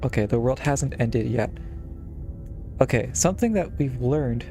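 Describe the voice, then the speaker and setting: A man's voice: medium pitch, expressive, unhurried. A man streaming a game with casual, reactive commentary, musing and thinking aloud as he plays. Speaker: streamer playing a visual novel. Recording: loud game music behind.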